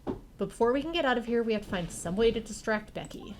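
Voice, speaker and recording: A woman's voice: on the high side, lively intonation, fast, soft background music, natural voice. Woman as a plucky, determined young heroine. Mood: calm.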